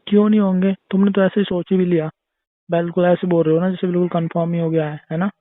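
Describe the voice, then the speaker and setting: Young guy on a phone call, kinda high voice, dry tinny line, casual boyfriend talk, keeps pressing with questions. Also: flat delivery, fast, no room sound. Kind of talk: casual relationship phone chat.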